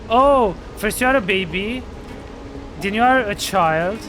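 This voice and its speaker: A man's voice: high-pitched, animated, measured pace, bright, forceful tone, a little hiss or hum. A man playing through a game and reacting to it in a playful, joking way. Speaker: game streamer. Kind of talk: playthrough commentary.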